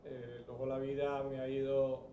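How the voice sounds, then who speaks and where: A man's voice: mid-range voice, level tone, normal speed, mellow, slight background noise. Man from Spain speaking at a lectern. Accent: Spanish from Spain. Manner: reflective, hesitant with many fillers. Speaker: transplant surgeon. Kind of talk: anniversary speech at a lectern. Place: large bright event hall. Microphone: lectern microphone. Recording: roomy hall audio picked up from a distance.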